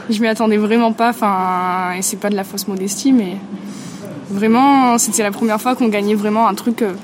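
Woman, mid-pitched voice, chatty, lots of fillers, light ambient noise. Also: steady tone, normal speed. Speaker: musician in a duo. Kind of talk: casual interview answers.

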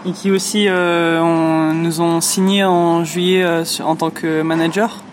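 A woman's voice: lowish voice, level tone, unhurried, a little hiss or hum. A woman whose first language is English, a musician answering interview questions hesitantly, with many fillers and restarts. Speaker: musician in a duo. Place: lives in France.

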